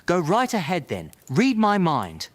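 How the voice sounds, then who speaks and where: A man's voice: sits high, huge pitch swings, measured pace, dry recording, full character voice. A man playing a defensive, defiant reformed villain wizard in an audio drama, sarcastic and insistent. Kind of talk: fan audio drama acting.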